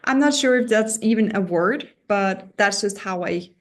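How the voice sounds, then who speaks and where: A woman's voice: mid-range voice, normal speed. A woman from Denmark in her thirties with a Danish accent, giving the introduction to an online parenting course in an earnest, personal way with small restarts and self-deprecating asides. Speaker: course teacher.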